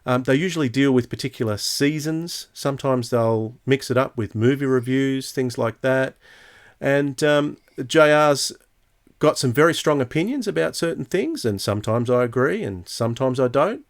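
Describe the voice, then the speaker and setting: Man casually hosting a podcast, medium pitch. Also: lively intonation, normal speed. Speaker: podcast host. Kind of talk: casual chat.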